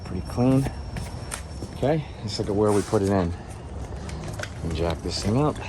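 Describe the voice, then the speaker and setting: A man's voice: lowish voice, animated, normal speed. A man with a stuffy, sniffly voice from a cold, casually talking through a hands-on car repair tutorial in plain words, step by step. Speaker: DIY car repair host. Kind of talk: hands-on tutorial, casual talk while working. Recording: clear background noise, vehicle work ambience.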